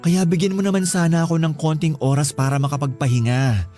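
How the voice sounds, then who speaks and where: An actor playing a tired, exasperated young Filipino husband who pleads reluctantly in a radio drama. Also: male voice, on the high side, steady tone, fairly quick, mellow, faint music behind, natural voice. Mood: pleading, frustrated.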